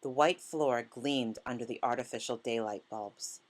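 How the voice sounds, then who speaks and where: A woman reading literary fiction aloud as scripted narration, in a measured manner. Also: extremely low pitch. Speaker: author reading a short story.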